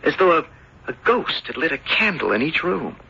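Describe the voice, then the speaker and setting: An actor playing an earnest, adventurous young sailor in an old-time radio drama, speaking haltingly and politely. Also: male voice, a little high, very animated, creaky, pushed and bright, breathy edge, heavily acted. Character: earnest, adventurous young seafaring farmer's son. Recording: dull, noisy old radio recording.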